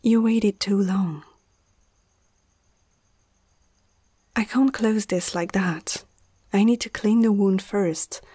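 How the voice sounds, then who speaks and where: Woman playing nervous caring young vet lady, asmr roleplay, slow breathy half whisper, hesitant, close mic. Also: mid-range voice, dark tone, no room sound. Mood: concerned, firm. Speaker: amateur ASMR roleplay performer.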